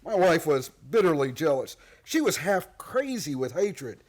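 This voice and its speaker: A man plays a rich, domineering magnate in a radio drama. His voice is pitched high for a man, and he speaks bluntly and formally, with wounded pride. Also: very animated, measured pace, a little mellow. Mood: explaining, sombre. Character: proud, domineering, wealthy male gold magnate, defensive. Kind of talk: radio play acting.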